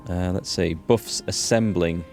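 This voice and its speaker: A man's voice: sits low, steady tone, measured pace, darkish tone. A man giving casual let's play commentary as host, explaining things and thinking aloud as he goes. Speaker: gaming video host. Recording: music behind the voice. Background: music.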